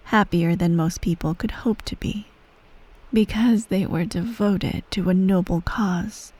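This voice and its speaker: A woman playing a menacing, coolly doting empress mother in soft, breathy ASMR roleplay, measured and formal, often near a whisper. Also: medium pitch, no echo. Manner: measured, formal, veiled threats, breathy sighs.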